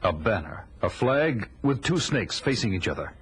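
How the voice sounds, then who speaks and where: Deep-voiced, proud barbarian warrior. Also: male voice, very animated, normal speed, a bit bright, slightly rough, exaggerated voice. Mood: gruff, resolute. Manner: grandiose, archaic, declamatory. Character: proud, vengeful barbarian warrior telling his tale. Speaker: hero and narrator. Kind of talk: 1980s audio drama, dramatic storytelling.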